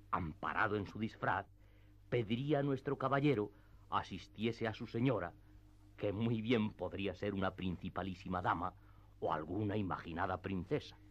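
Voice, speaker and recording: A man narrating a classic tale for radio theatre in a measured storytelling manner. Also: sits low, muffled recording.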